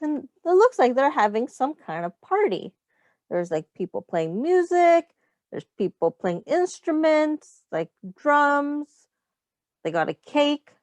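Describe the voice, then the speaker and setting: A woman reads a picture book to young children at a slow pace. Her voice sits fairly high and moves in animated swoops. She explains things simply, like a teacher, and pauses to ask questions. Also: no room sound. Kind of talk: read-aloud storytime for young children.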